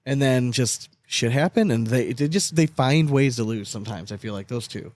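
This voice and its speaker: Man, co-host, middle pitch, dry close sound; animated, blunt, swears freely. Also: normal speed, dry recording. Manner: animated, opinionated, swears often. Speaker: podcast co-host. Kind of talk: casual sports chat.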